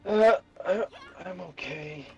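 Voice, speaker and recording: A man's voice: high-pitched, slow, a little mellow, slightly rough, lo-fi sound, soft background music, voice pushed up, heavily acted. A man voicing a tired young woman college student, terse and understated, in a fan fiction reading. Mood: weary.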